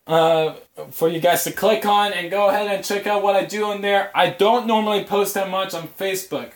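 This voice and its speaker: A man hosting a podcast, talking casually to his viewers in a rambling, halting way with long hesitant pauses. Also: sits high, fairly even intonation. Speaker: podcast host.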